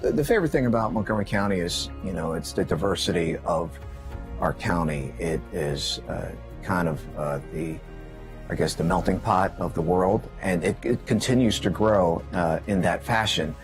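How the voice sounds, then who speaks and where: A middle-aged man from Maryland speaking reflectively in an interview, pausing often and searching for words. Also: low voice, faint music behind. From Maryland, United States. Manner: reflective, hesitant with many fillers. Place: indoor gym.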